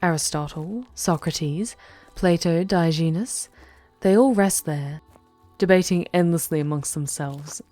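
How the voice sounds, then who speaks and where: A woman playing a charming demon queen who guides a traveller through hell in an ASMR roleplay, speaking in a formal, theatrical, deliberate way with breathy near-whispers. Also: lowish voice, mellow, no room sound, soft background music, lightly acted. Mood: inviting. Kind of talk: ASMR roleplay, dramatic monologue addressed to the listener.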